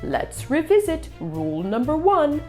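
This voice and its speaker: A woman's voice: high voice, wildly swooping pitch, a little slow, mellow, soft background music, voice pushed up, full character voice. Woman playing a pompous, emphatic monster boss. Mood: instructing. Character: stern male monster boss, formal, critiquing, authoritative.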